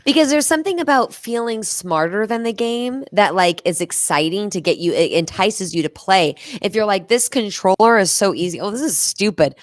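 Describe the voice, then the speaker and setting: A woman's voice: mid-range voice, animated, normal speed, hardly any pauses, no room sound, clean studio sound. A woman chatting casually as a panelist on a comedy show. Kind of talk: casual chat.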